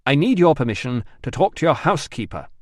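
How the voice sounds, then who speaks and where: A man's voice: mid-range voice, big pitch swings, fast, a little mellow, no room sound, clean studio sound, pitched above the performer's own voice, close to an everyday voice. A man reading an audiobook, voicing a determined male investigator who talks urgently and bluntly. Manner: urgent and insistent.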